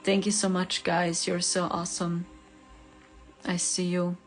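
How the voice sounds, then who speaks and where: A woman's voice: on the low side, little pitch movement, a little slow, soft background music, rough recording. A woman whose first language is German, hosting a casual spiritual livestream, reading viewer comments and laughing often. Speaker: spiritual reader, livestream host.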